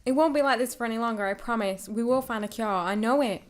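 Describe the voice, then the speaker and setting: A woman's voice: a little high, quick pace, close to an everyday voice. A woman playing a brave, determined young heroine in a fantasy radio drama, earnest and resolute.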